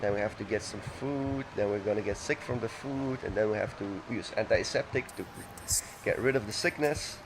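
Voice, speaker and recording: A man's voice: lowish voice, moderate pace, noisy background. A man chatting loosely and rambling as he plays a video game, often correcting himself. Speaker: let's play gamer. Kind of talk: casual gaming commentary. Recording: music playing under the voice.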